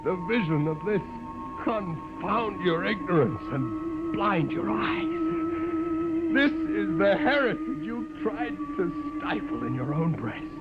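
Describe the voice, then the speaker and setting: A man's voice: extremely high pitch, very animated, takes it slowly, highs cut off, loud music behind the voice, full character voice. An actor playing an impassioned idealist who defies his commander, declaiming in 1950s radio drama style on an old recording. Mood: visionary, fervent. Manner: declamatory, oratorical. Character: impassioned idealist man defying his armed commander, pleading and prophetic.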